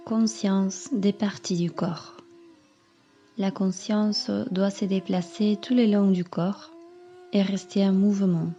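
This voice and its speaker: Calm woman doing guided relaxation, flat steady pitch, bit muffled recording w music under it. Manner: calm, measured, instructing. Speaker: yoga teacher. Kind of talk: slow guided meditation narration.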